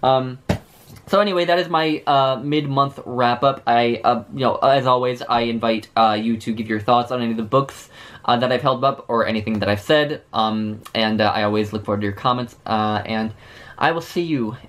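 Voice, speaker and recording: A man's voice: mid-range voice, normal speed, fairly bright tone. A man in his thirties talking casually to camera about the books he is reading, with frequent fillers and restarts, in a small book-lined room. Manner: chatty, many fillers and restarts. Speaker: book vlogger.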